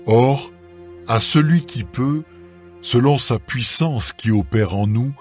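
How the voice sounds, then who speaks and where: A man reading scripture aloud as a solemn scripted dramatised Bible recording, with measured, deliberate phrasing. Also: mid-range voice, darkish tone. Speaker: scripture reader. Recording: music under the voice. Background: background music.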